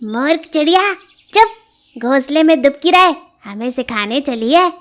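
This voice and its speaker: A woman voicing a foolish, excitable forest monkey in a children's story, with a bossy, exclaiming manner. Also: high voice, moderate pace. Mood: annoyed.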